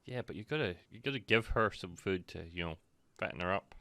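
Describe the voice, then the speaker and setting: A man's voice: low-pitched, expressive, brisk, pushed and bright, no room sound. A man streaming a video game, giving casual commentary with joking, rambling asides.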